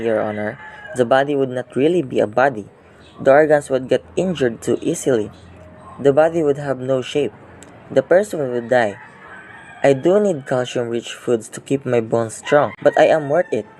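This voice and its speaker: A boastful skeleton pleads its case in an amateur classroom-style courtroom play, read by a man. The voice sits at a middling pitch and explains with self-importance. There is faint noise behind it. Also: lively intonation, moderate pace, darkish tone, natural voice. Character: proud skeletal system of a body testifying in court. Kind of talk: amateur reader's theater, scripted educational play. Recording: home recording, noise, roosters crowing.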